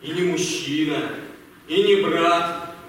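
Echoey high-voiced, stern army captain played by a man. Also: takes it slowly, fairly bright tone. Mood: grave, intense. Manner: commanding, sardonic. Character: stern, mocking army captain drilling women recruits. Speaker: actor in an amateur troupe. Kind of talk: amateur stage-style radio play acting. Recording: echoey hall, distant mic, faint noise.

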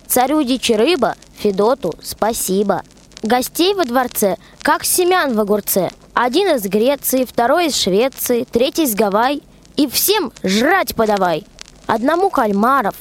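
High-voiced young woman telling a rhymed tale. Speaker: storyteller. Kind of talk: rhymed folk-tale narration in a radio play.

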